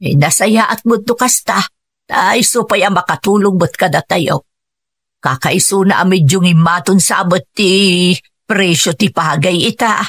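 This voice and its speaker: A woman playing a practical, worried older wife and mother in a radio drama, with an Ilocano accent and a husky voice. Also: on the low side, normal speed, no echo. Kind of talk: emotive radio drama acting.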